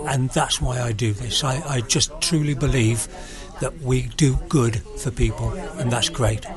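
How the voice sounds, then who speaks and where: A man's voice: mid-range voice, steady tone, a little slow, music in the background. A man in his sixties from South Wales with a Welsh accent, chatting earnestly as a guest on hospital radio. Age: 60s. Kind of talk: casual radio interview. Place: busy room at a radio party. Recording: crowd chatter in the room. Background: crowd chatter.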